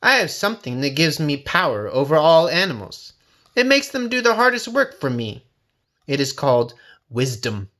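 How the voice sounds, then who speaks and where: A man's voice: a little high, sing-song, moderate pace, a bit bright. A man playing a small, wary and shrewd farmer in a storybook, explaining things in a polite, deferential way. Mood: polite, confident. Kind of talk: storybook character voice.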